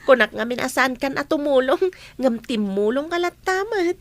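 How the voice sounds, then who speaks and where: A woman's voice: high voice, lively intonation, normal speed, no room sound. A woman acting a friendly young village housemaid in an Ilocano radio comedy drama. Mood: friendly, content. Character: young village housemaid hoping to return to school.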